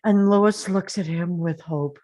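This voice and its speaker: Slow-paced woman narrating a screenplay. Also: lowish voice, darkish tone. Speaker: stage-direction narrator. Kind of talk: scripted screenplay narration at a table read.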